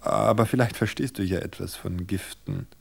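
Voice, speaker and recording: A man reading a story aloud and voicing a frightened wife who stalls her husband with a made-up confession, his voice raised high and halting. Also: creaky. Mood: coolly scheming. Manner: high, halting, desperate then measured.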